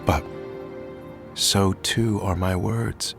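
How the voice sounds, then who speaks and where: An actor playing the apostle John, comforting a friend in a soft, hushed and soothing way. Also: male voice, deep voice, fairly even intonation, extremely slow, vocal fry, full character voice. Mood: consoling. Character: gentle, consoling apostle John. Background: music.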